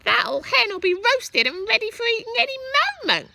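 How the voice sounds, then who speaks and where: A woman's voice: very high voice, sing-song, normal speed, vocal fry, pushed and bright, slight background noise, pitched above the performer's own voice, exaggerated voice. A woman voicing a bossy, crotchety old grandmother from a children's storybook, scolding and boastful. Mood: scornful. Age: older. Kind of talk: animated children's storybook character voice.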